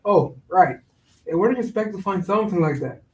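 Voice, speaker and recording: A man's voice: sits high, lively intonation, a little fast, a little mellow. An actor playing a cautious, skeptical young father in a staged reading, answering his friend with blunt slangy retorts. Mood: incredulous, wary. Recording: roomy echo, distant microphone.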